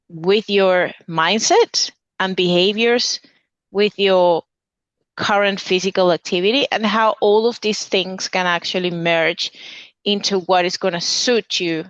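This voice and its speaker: Slow, smooth-voiced Venezuelan-born woman. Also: medium pitch, a bit bright, dry recording, muffled recording. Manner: earnest, listing points with restarts. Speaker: dietitian guest. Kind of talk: conversational interview answer.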